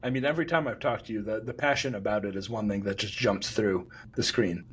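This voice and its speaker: A man's voice: lowish voice, fairly quick, fairly bright tone, breathy edge. A man hosting a podcast interview, speaking in a friendly, loose way with many fillers. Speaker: podcast host.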